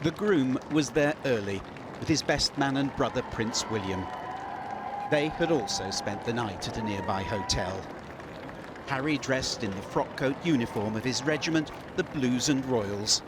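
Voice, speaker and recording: A man reading a scripted television news report in a measured, storytelling way over a close mic. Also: mid-range voice, animated, over a noisy crowd, very noisy. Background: music under the narration.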